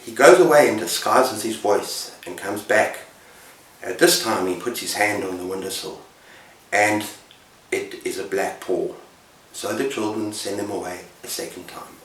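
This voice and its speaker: A man with a fairly low voice retells tales in a casual, emphatic way, pausing for drama. He sounds set back from the microphone in a roomy, echoing space. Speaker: book reviewer on a video channel. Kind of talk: casual story retelling to camera. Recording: roomy echo, distant microphone.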